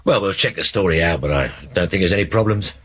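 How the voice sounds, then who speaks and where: An actor playing a methodical English police inspector who questions hesitantly and deliberately in a 1970s radio drama. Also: male voice, low voice, fairly bright tone. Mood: matter-of-fact. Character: male police detective inspector, probing and methodical. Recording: dull, noisy old radio recording.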